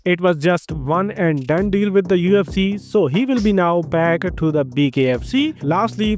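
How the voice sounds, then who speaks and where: High-voiced Indian man reading fight news. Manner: brisk, energetic delivery with frequent filler transitions. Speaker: host of a combat sports news channel. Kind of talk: scripted news roundup. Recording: faint music behind the voice.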